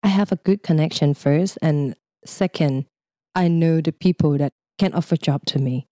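A woman's voice: deep voice, moderate pace, dark tone, no room sound, studio quality. A young Thai woman with a Thai accent, speaking English as a second language in a casual, chatty interview and laughing often. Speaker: guest.

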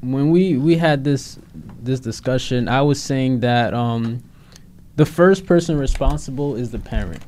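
A man's voice: mid-range voice, a little slow, dark tone, a little hiss or hum. A young man hosting a casual talk show, rambling a little with fillers and restarts as he sets up topics. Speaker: podcast host.

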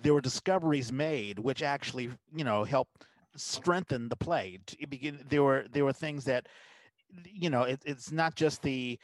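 A man's voice: mid-range voice, moderate pace, no room sound, low-quality recording. Man hosting a podcast, closely recorded, dry. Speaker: podcast host. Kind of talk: casual interviewing.

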